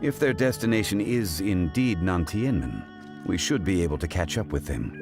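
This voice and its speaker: Grave courteous game character, low voice, formal measured phrasing, composed, music behind. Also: male voice, animated. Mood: grave, pensive. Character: composed, dignified, scholarly man, grave and courteous. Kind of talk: video game character acting.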